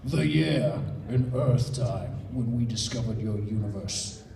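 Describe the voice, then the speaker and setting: A man playing a smug, boastful female cartoon creature, with a deliberate delivery. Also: fairly low pitch, creaky, distant mic, background noise. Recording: echoey hall, off-mic.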